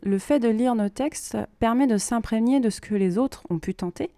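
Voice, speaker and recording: A woman hosting a podcast, explaining things informally in a monologue and pausing as she thinks aloud. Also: fairly high pitch, dark tone, no echo. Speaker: podcast host and creative writing workshop leader.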